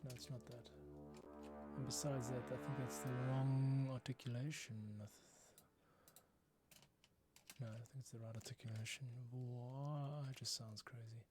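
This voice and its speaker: A man's voice: medium pitch, little pitch movement, normal speed. Male composer thinking aloud over music. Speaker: composer livestreaming his work. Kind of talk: casual think-aloud commentary. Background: instrumental music being composed.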